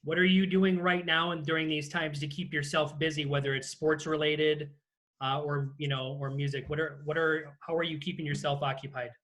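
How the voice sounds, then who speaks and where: A man's voice: fairly high pitch, flat delivery, moderate pace, a bit bright. A man hosting a casual interview over a video call, putting questions to his guest. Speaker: interview host. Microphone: video call microphone.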